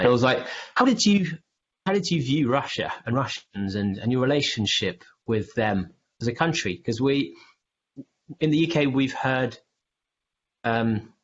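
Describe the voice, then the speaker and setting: British male podcast host, lowish voice, rambling questions, muffled audio with faint hiss. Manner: rambling, loosely built questions. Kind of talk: casual interview questions.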